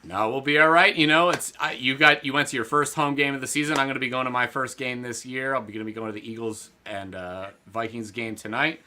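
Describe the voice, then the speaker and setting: Man, co-host, very bright voice, casual betting chat, rambling with fillers. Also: mid-range voice, fairly even intonation, fast, few pauses, a little hiss or hum. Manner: loose and rambling, many fillers and restarts. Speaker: podcast co-host.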